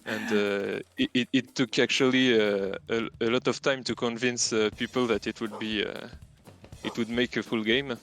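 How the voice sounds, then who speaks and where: A man with a French accent, a native French speaker, answering casual interview questions haltingly as he searches for words. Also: mid-range voice, steady tone, fairly bright tone. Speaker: interview guest, game programmer.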